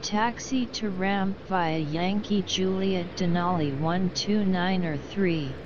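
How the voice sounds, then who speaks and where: Low-voiced synthetic woman as airline pilot on radio. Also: takes it slowly. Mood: brisk, procedural. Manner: flat, formulaic, clipped. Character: computer-voiced airline pilot, brisk and procedural. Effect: radio filter. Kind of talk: clipped air traffic radio readbacks. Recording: dull radio sound over noisy airport ambience.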